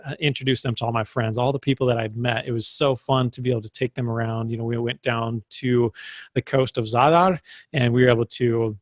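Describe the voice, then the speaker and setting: A man's voice: medium pitch, fairly even intonation, fairly quick, no echo. A young man giving a casual motivational talk, full of "like" and "right?" fillers. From United States. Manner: filler-heavy, many "like" and "right?". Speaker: summit speaker.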